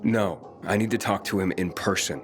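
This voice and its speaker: A man's voice: deep voice, fairly even intonation, normal speed, over music, natural voice. A man playing a young wandering pilgrim in a video game, talking in a casual, dry way. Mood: insistent, wry. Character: Aiden, young male wandering pilgrim, wry and dogged.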